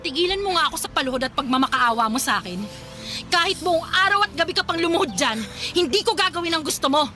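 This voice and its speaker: A woman's voice: very high voice, animated, fast, over music. A woman playing a scorned, proud ex-mistress in a Filipino radio drama, confrontational, sarcastic and emotional. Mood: anguished fury.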